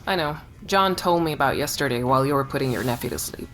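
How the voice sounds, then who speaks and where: An actress playing a blunt, protective woman who scolds in an exasperated, brisk manner in an audio drama. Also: a bass voice, fairly bright tone, slight background noise. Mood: exasperated, scolding. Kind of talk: naturalistic audio drama dialogue.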